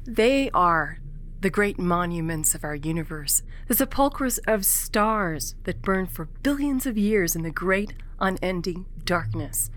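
A woman's voice: on the low side, lively intonation, unhurried, fairly bright tone, slight background noise, low-quality recording. A woman playing a wry, philosophical rebel prisoner in a science fiction audio drama, with a lofty, theatrical, teasing manner. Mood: reverent awe. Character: wry, world-weary alien rebel prisoner, grandly philosophical.

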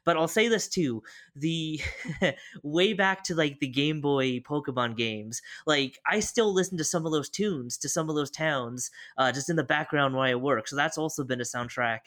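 A man's voice: a little high, moderate pace, a bit bright. Male co-host, casual chat, playful and hesitant. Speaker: podcast co-host.

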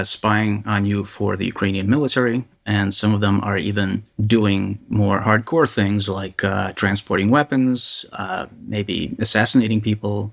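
A man being interviewed as a journalist, giving a candid first-person account in a halting, hesitant way. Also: lowish voice, gravelly.

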